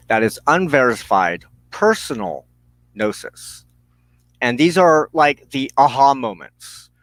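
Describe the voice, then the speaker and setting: A man hosting a spiritual broadcast, giving an earnest, reflective monologue in short, halting phrases broken by pauses. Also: medium pitch, a bit bright, dry recording, poor audio. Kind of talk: reflective spoken monologue on personal belief.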